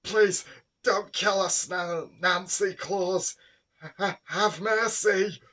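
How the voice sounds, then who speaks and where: A man's voice: high voice, slow, pushed and bright, pitched above the performer's own voice, heavily acted. A man voicing a terrified young boy who stammers as he begs for mercy. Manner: stammering, panicked.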